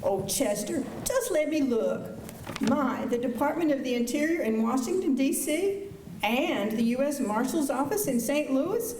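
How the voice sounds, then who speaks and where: Woman as wide-eyed young western shop girl, high voice, coy and gushing, echoey auditorium. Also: expressive, measured pace, a little mellow. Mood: coy, wheedling. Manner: gushing, coy, breathy exclamations. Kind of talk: western radio drama acting. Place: high school auditorium stage. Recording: echoey auditorium with faint hiss.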